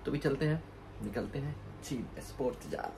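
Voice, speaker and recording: A man's voice: medium pitch, a little fast, vocal fry, loud music behind the voice. A man, chatting casually and informally as a student vlogger into a phone, mixing in English words. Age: 20s. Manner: informal, repetitive filler phrases, Hindi mixed with English words. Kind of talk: casual vlog chat. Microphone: phone at arm's length. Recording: noisy street and indoor phone recording.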